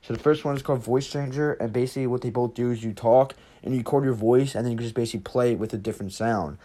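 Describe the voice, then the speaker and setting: A man with a medium-pitched voice hosts a casual tutorial. He talks in an informal, slightly rambling way, addressing his viewers directly as he explains things step by step. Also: rapid-fire, dark tone. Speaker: tutorial host. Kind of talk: casual screen-recorded app tutorial.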